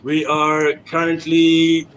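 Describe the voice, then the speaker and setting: A man's voice: on the high side, monotone, slow, a bit bright, echoey room, slight background noise, low-quality recording. A man with a South African accent, a guest chef talking viewers through his dish over a laptop video call. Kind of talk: casual cooking demo on video call.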